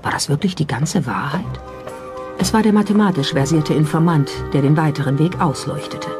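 Documentary narrator, medium pitch, measured storytelling delivery with rhetorical questions, music bed underneath. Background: background music.

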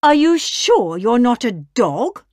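A woman's voice: a little high, very animated, takes it slowly, darkish tone, dry recording, clean studio sound, pitched above the performer's own voice. A woman voicing a big, proud female cow in a storybook, with an earnest manner.

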